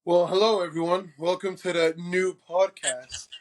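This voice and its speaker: A man's voice: high voice, steady tone, moderate pace, rough recording. A young man from Croatia co-hosting a football podcast, brash and boastful, swearing freely in casual chat. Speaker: podcast co-host.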